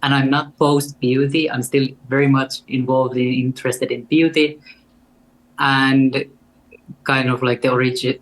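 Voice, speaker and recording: A young woman with a Finnish accent and a deep voice, speaking as a guest in an earnest, reflective way. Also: level tone, unhurried, low-quality recording. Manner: earnest and reflective, with light laughs. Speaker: guest artist. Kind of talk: casual interview conversation.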